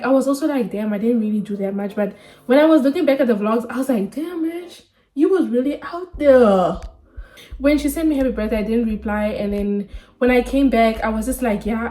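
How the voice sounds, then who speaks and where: A woman's voice: a little high, dark tone. A woman in her twenties from Namibia, chatting candidly and casually to camera in a sit-down vlog, full of slang. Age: 20s. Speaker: vlogger.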